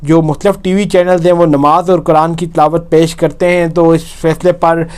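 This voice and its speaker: A man with a Pakistani accent delivers a news report with commentary. His voice is fairly high for a man and notably clean and smooth. He explains as he goes, often rewording and repeating a point. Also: level tone, moderate pace, darkish tone.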